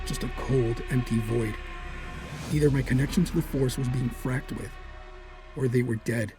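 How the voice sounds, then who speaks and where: Male dark warrior, performed by an actor: proud, hot-tempered, formal and grave, middle register, audio drama narration with soft underscore. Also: medium pitch, lightly acted. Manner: formal, grave, theatrical phrasing.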